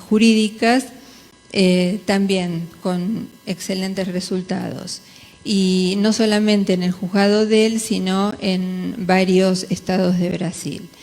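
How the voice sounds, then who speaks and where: A woman with an Argentine Spanish accent giving a conference presentation in a measured, hesitant manner. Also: mid-range voice, a little mellow. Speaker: conference speaker, jurist. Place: large conference hall. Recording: reverberant hall.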